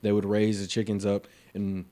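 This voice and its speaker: A man in his twenties chatting on a podcast in a relaxed way, picking up speed when he explains something. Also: lowish voice, normal speed. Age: 20s. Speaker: podcast co-host. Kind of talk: casual chat.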